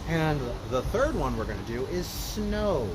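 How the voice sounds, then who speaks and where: A man's voice: fairly high pitch, sing-song, a little slow, background noise. A man hosting a children's story time, speaking and singing in an informal, encouraging, instructional way. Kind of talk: casual live children's performance, talking to families and singing along with ukulele. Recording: live ukulele music in the recording. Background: ukulele playing.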